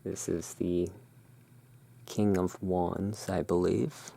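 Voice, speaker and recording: A person narrating in a soft, hushed, deliberate ASMR style while presenting cards as a host. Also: low-pitched, little pitch movement, vocal fry.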